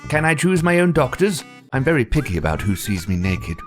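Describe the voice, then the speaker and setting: A man's voice: medium pitch, big pitch swings, fairly quick, soft background music, close to an everyday voice. A man voicing a sardonic, fussy pet dog in a cartoon, with a dry, wisecracking manner. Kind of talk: animated comedy dialogue.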